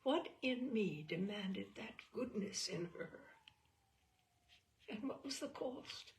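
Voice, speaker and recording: A woman reading a literary short story in the first person, measured and expressive, dwelling on phrases. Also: medium pitch.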